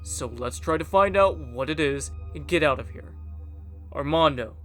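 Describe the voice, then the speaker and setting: A man's voice: fairly high pitch, animated, moderate pace, fairly bright tone, soft background music. A man playing a protective boyfriend in a horror audio drama. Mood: alarmed, urgent. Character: protective boyfriend on a haunted-mansion trip.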